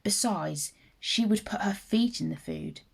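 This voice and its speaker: A greedy, scheming male fox from a children's storybook, acted out by a woman. He savours his words with mock politeness, and the voice has a slightly husky, creaky edge. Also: medium pitch, a little slow, heavily acted. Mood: selfish, scheming. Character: sly, greedy, self-satisfied male fox. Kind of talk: children's fable character voice.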